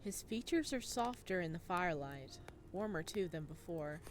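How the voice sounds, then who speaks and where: A playful, expressive woman streamer reading a visual novel aloud and adding her own reactions. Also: on the low side, normal speed, a little hiss or hum. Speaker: video game streamer.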